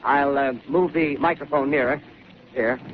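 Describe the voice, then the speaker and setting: An actor playing an on-the-spot radio reporter in a 1930s radio drama, talking in urgent, halting live commentary. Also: male voice, medium pitch, fairly even intonation, lightly acted. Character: excitable on-the-spot radio reporter, adult man. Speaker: field reporter. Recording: dull, hissy 1930s radio broadcast.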